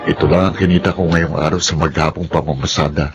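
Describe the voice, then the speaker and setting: A man's voice: very deep voice, fairly even intonation, fast, highs cut off, loud music behind the voice. An actor playing a struggling, apologetic husband and father in a Filipino radio drama, speaking in a weary way. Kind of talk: Filipino radio drama acting with first-person narration.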